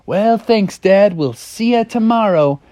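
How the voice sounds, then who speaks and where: A man voicing an eager, excitable young frog boy who exclaims dramatically in a children's story. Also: high-pitched, unhurried, no echo, studio quality, voice pushed up. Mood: cheerful, admiring.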